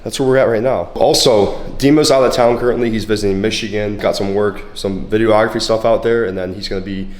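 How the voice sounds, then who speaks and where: A young man in his twenties with a congested, slightly hoarse voice, chatting casually and profanely with lots of filler words in a fitness vlog. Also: on the low side, steady tone, fast, hardly any pauses. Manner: laid-back, profane, full of "like" fillers. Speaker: fitness vlogger. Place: bedroom at home, then a gym.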